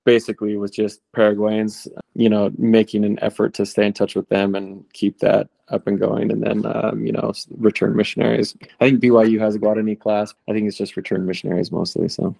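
A man in his late thirties, a returned missionary, telling stories in a relaxed, rambling interview style. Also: lowish voice, brisk, creaky, hardly any pauses, no room sound, poor audio. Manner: relaxed, rambling with fillers and restarts. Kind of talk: conversational interview storytelling.

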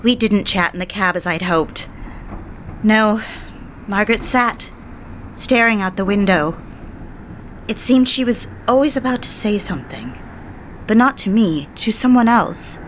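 A woman's voice: mid-range voice, unhurried, a little hiss or hum, close to an everyday voice, low-quality recording. An actress playing a genteel, eager young society woman in an audio drama, speaking and narrating with proper, polite diction. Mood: wistful, reflective. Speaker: lead character and narrator. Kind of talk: audio drama acting with first-person narration.

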